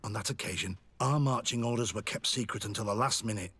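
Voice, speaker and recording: Game role: guarded army officer, low rough-edged voice, grave and dutiful. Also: male voice, quick pace, fairly bright tone. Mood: guarded, uneasy. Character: wary military lieutenant, dutiful male informant confiding secrets. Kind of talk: video game dialogue acting.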